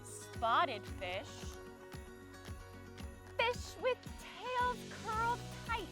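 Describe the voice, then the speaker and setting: A woman with a high voice hosts storytime for children in a playful mermaid persona. She takes her time and addresses young viewers directly with big, expressive phrasing, with music in the recording. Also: very slow. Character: friendly singing mermaid who loves the land. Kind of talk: children's storytime read-aloud and singalong presenting.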